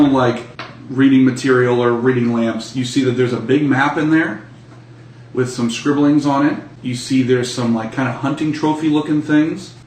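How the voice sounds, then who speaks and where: A man running an improvised tabletop game as game master, narrating casually in a halting, drawn-out way. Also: medium pitch, a little mellow, slight background noise. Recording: echoey room, slightly distant, faint music.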